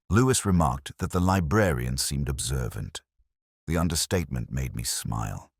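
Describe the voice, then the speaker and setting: A man playing a reflective, observant police inspector who narrates a detective audio drama in a measured, literary, brooding manner. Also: very deep voice, slightly rough, dry recording, studio quality, exaggerated voice.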